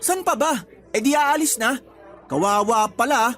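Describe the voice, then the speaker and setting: A man's voice: very high-pitched, a little slow, sharp tone, faint music behind, heavily acted. A man playing a jealous, insecure young boyfriend in a radio love drama, accusing and defensive. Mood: angry, accusing.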